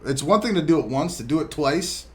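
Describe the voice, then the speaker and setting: A young man co-hosting a casual sports podcast, telling stories excitedly and swearing freely. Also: fairly high pitch, a little fast. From Bosnia. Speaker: podcast co-host. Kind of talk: casual chat.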